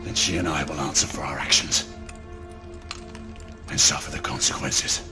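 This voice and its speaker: A man's voice: rumbling low, fairly even intonation, moderate pace. A man acting a determined, loyal knight in a video game cutscene, with a grave, earnest delivery. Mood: grave, hushed. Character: determined, loyal knight turned ally of rebels. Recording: dramatic music behind the voice.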